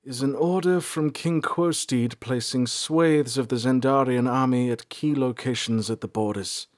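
A man's voice: mid-range voice, measured pace, pitched above the performer's own voice, natural voice. A man voicing a shifty, confident male ranger with a severe, pointed manner in a fantasy audiobook. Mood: grave. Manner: pointed, grave. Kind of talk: audiobook character dialogue.

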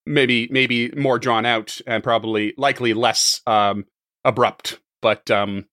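A hoarse man acting as game master on a tabletop podcast, rambling and laughing a lot between restarts. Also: mid-range voice, fairly even intonation, takes it slowly, a bit bright, no echo, clean studio sound.

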